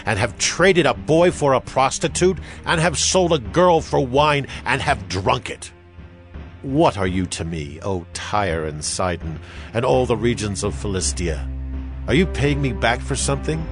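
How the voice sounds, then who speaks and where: An actor playing a solemn Hebrew prophet in a dramatized scripture reading, declaiming with grave emphasis. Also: male voice, medium pitch, natural voice. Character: solemn male Hebrew prophet proclaiming judgment and promise. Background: music.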